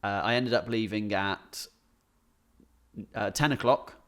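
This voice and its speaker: A man's voice: fairly low pitch, takes it slowly, fairly bright tone. A man co-hosting a comedy podcast, telling everyday anecdotes in casual, conversational chat.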